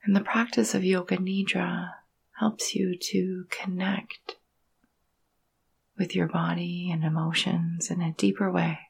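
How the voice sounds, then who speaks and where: A woman guides a meditation in a soft, breathy voice with a gravelly creak at its edges. Her intonation stays quite even and gentle. She speaks in hushed, soothing phrases separated by long pauses. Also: fairly low pitch. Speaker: yoga nidra meditation guide.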